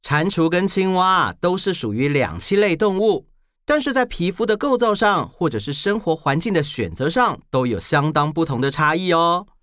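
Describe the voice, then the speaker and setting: A woman's voice: lowish voice, expressive, moderate pace, a bit bright, no room sound, studio quality. A woman explaining things playfully to children as a podcast presenter.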